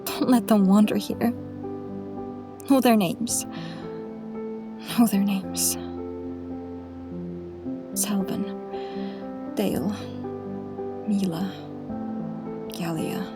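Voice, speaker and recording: A woman voices a grieving, steadfast heroine of a fantasy audio drama. She speaks slowly at a middle pitch, solemn and restrained, over faint music. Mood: solemn prayer. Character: weary, resolute, grieving young fantasy heroine.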